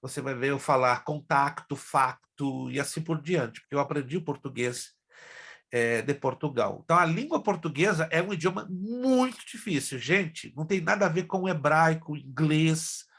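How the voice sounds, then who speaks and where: A man's voice: on the high side, expressive, normal speed, a bit bright. A middle-aged man, a pastor from Brazil whose first languages are Hebrew and Spanish, teaching an online class in a chatty way that speaks straight to his students. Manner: chatty, addresses class directly.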